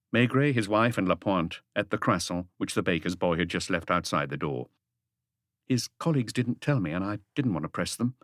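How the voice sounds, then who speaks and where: A man's voice: sits low, fairly bright tone, no echo, studio quality. A man reading an audiobook as narrator, in a scripted literary storytelling style.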